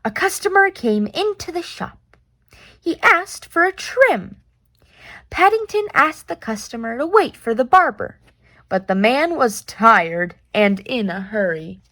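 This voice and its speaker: A woman reading a children's picture book aloud in an expressive, deliberate way with playful reactions. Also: on the high side, no echo.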